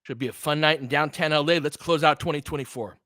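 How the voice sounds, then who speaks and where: A man's voice: on the high side, fast, a bit bright, dry recording, clean studio sound. A man giving casual, opinionated basketball play-by-play as a fan host, chatting conversationally with listeners between calls. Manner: conversational, opinionated, answers chat commenters by name.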